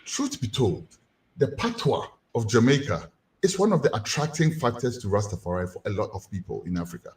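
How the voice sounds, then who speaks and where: Ghanaian-accented male lecturer, lively swooping delivery, rhetorical and animated. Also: fairly low pitch, brisk. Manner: animated, rhetorical questions to the audience. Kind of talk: community lecture.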